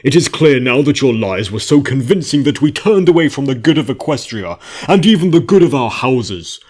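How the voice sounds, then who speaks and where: A man's voice: mid-range voice, very animated, normal speed, a little mellow, pitched above the performer's own voice. A man voicing a proud, formal pony noble who speaks in an emphatic, declamatory way in a fantasy story. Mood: proud, resolute.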